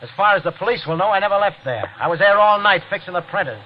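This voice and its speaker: Menacing, brooding husband, American, old radio suspense drama, raised male pitch, muffled recording with hiss. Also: animated, fast. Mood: coldly gloating. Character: aggrieved husband, quietly menacing, simmering toward murder. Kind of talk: 1940s radio drama acting.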